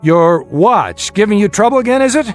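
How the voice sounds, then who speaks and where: A guarded American father plotting an escape in a radio drama. His pitch moves freely as he gives urgent, commanding instructions. Faint music plays behind the dialogue. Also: big pitch swings, normal speed. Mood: forced cheer. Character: tense secretive family father plotting an escape.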